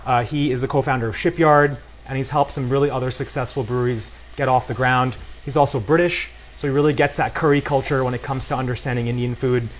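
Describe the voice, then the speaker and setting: Male guest cook, medium pitch, upbeat promotional delivery, casual demo style, sizzle behind the voice. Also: steady tone, quick pace, hardly any pauses. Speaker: guest cook and beer brand co-founder. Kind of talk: casual cooking demonstration talk. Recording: clear noise of frying and sizzling. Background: sizzling pan in a cooking demo.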